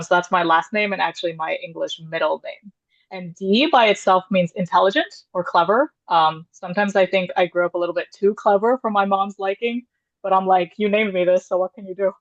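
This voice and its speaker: A woman's voice: mid-range voice, no echo. A woman panelist on a video call, chatting in a friendly, self-deprecating way with frequent laughs. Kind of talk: conversational presentation. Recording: muffled video-call audio.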